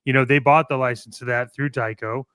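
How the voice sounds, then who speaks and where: A man with a clear, smooth voice and a close, dry sound, chatting casually as a talk show co-host. He is blunt and opinionated in how he puts things. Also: medium pitch, steady tone, brisk, fairly bright tone, no echo. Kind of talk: casual chat about toy collecting.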